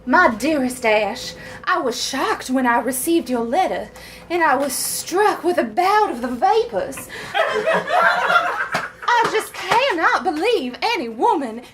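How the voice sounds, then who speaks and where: A melodramatic Civil War wife played by a woman, high-voiced and unhurried, pines through her letters with genteel, swooning primness and bawdy undertones. Her pitch sweeps dramatically. It is a comic live reading with a little background noise. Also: very animated, exaggerated voice. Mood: scandalized.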